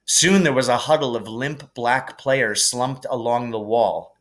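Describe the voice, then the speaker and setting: A man reading a storybook aloud as an expressive narrator, in a measured, deliberate storytelling manner. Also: medium pitch. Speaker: teacher reading a novel aloud.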